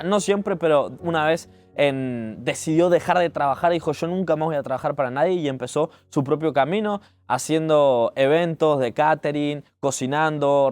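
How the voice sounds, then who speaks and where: A young man from Uruguay with a Uruguayan accent speaks in a fairly high-pitched voice. He talks conversationally and earnestly, as in a reflective personal vlog. Soft music plays behind his words. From Montevideo, Uruguay. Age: 20s. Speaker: digital entrepreneur telling their life story. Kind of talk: personal storytelling vlog, spoken to camera.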